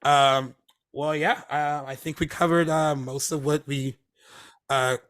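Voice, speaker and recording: A man's voice: a little high, fairly even intonation, slow pace, fairly bright tone, clean tone. A man co-hosting a movie podcast in casual chat, rambling with many fillers and restarts, his voice recovering from laryngitis. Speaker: podcast co-host. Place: Hermosa Beach, California.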